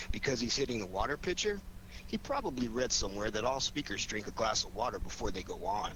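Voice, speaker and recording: A man's voice: fairly low pitch, animated, a little fast, fairly bright tone. An American man playing an easygoing, wisecracking amateur sleuth with flippant, teasing banter in a 1940s radio drama. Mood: wry, relaxed. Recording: noisy vintage 1940s radio recording.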